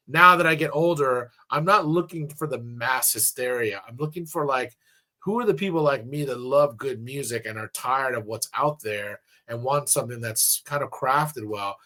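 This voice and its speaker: A man's voice: mid-range voice, sharp tone. A man hosting a radio interview show, talking in a loose, rambling way with lots of fillers and restarts. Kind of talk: casual interview chat.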